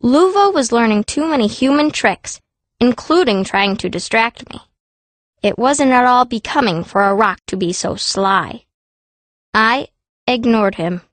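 A sharp-tongued young girl mage tells her own story in a fantasy audiobook. Her voice is fairly high, blunt and cranky, recorded close and dry. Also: expressive, a little slow, no room sound. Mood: narrating. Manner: blunt, grumbling. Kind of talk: first-person audiobook narration.

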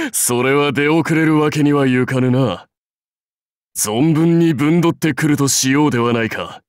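An actor playing a proud, seasoned samurai warlord in a game, speaking in stately, measured, archaic phrases with wry authority. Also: male voice, a little high, clean tone, dry recording, studio quality, lightly acted. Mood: amused, eager. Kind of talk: scripted game character lines.

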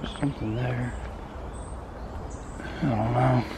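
A man's voice: medium pitch, slow pace, very noisy. Young man vlogging casually on a trail. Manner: relaxed, uncertain, many fillers. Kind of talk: casual vlog walkthrough. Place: wooded trail outdoors, then apartment living room.

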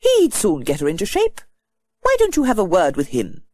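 A woman voicing a sharp-tongued older postmistress with a sing-song manner. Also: mid-range voice, huge pitch swings, moderate pace, no echo. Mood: scolding, advising.

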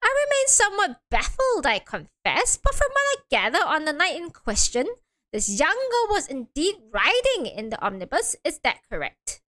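Girl doing pompous old judge from a game, blustery and bouncy, high pitched. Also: huge pitch swings, moderate pace, bright, forceful tone, heavily acted. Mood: stately. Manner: blustering, indignant. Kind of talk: reading game dialogue aloud on stream.

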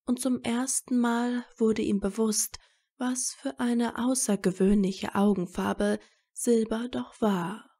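Female audiobook narrator, unhurried delivery, relaxed tone with some vocal fry. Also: on the high side.

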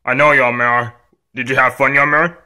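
A man's voice: medium pitch, flat delivery, normal speed, pushed and bright, pitched below the performer's own voice. A man playing a stern, weary father who scolds and admonishes his young son in a homemade story. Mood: firm.

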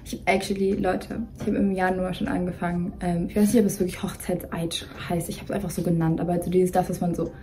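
A woman's voice: on the low side, level tone, quick pace, few pauses, warm tone, a little hiss or hum. A young woman talking casually as a co-host, rambling and slipping English words into her speech. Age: young adult. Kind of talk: casual chat. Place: dim bedroom, indoors.

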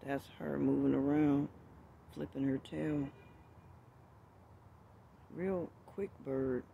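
A man filming in a backyard, talking slowly and gently, often dropping to a hushed voice. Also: fairly high pitch, steady tone, creaky, phone-quality sound, a little hiss or hum. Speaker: birdwatching vlogger. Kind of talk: casual outdoor narration while filming. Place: outdoors in a backyard among bushes. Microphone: handheld phone camera.

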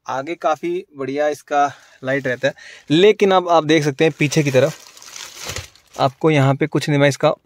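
A man's voice: on the high side, no room sound, clean studio sound. A man in his thirties from India, talking casually into a phone held at arm's length inside a car at night, reviewing its features. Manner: chatty, repetitive, explains while showing. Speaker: car vlogger.

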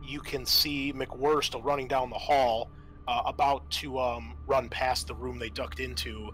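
A man narrating a tabletop roleplaying game as game master, explaining things in a halting, improvised way. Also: medium pitch, fairly even intonation, fairly bright tone, low-quality recording.

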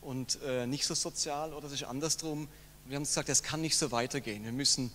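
A middle-aged pastor speaks in a mid-pitched, earnest voice, pausing now and then. A long hall reverb and a faint hum surround him. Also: male voice, fairly even intonation, fairly bright tone. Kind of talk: acceptance speech. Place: large hall on a stage. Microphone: handheld mic.

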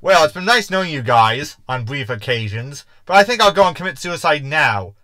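A man's voice: a little high, very animated, normal speed, pushed and bright, no echo. A man playing a fussy, anxious, sarcastic hologram crewmate in a sci-fi sitcom audio drama. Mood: sarcastic, gloomy. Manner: sarcastic, complaining.

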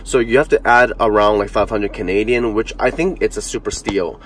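Young male car vlogger, lowish voice, loose chatty delivery with fillers; phone in a parked car, faint cabin hum. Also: fairly quick, a bit bright. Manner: chatty, lots of fillers and restarts. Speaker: car reviewer. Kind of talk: casual car walkthrough vlog.

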